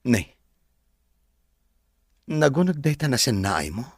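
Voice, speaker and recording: An actor with a Filipino accent playing an earnest, reassuring sweetheart in a radio drama, with a gentle manner. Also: male voice, mid-range voice, big pitch swings, takes it slowly, dry recording, clean studio sound, full character voice.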